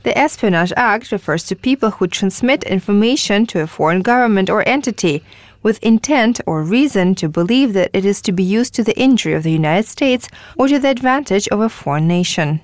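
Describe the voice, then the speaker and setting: A female newsreader delivering a radio news report in a measured, formal way. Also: medium pitch, expressive, darkish tone, no room sound.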